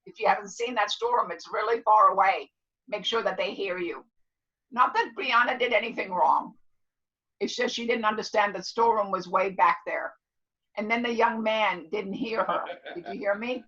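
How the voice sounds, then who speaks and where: Woman in her seventies, class instructor, forceful bright tone, emphatic, repetitive, motherly, dull online-call sound. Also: mid-range voice, moderate pace. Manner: emphatic, repeats herself and checks listeners understand; loud, clear talker. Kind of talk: casual motivational class talk.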